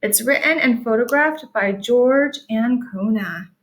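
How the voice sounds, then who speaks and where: A woman's voice: fairly high pitch, unhurried, reverberant, slight background noise, low-quality recording. A woman teaching young children to read, patiently spelling and explaining in a sing-song teacherly way with careful, emphatic enunciation. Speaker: early-grade reading teacher. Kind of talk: sight-word lesson and picture book read-aloud for young children.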